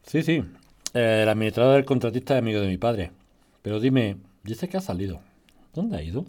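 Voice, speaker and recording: A man's voice: on the low side, expressive, fairly quick, a little mellow, natural voice. A man playing an inquisitive husband in conversational radio drama dialogue. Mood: suspicious. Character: inquisitive husband working in family construction firm.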